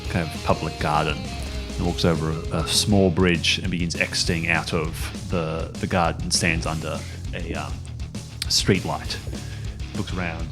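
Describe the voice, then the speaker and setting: A man narrating improvised scenes as a tabletop game master in a halting, measured way. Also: low voice. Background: music, plucked guitar.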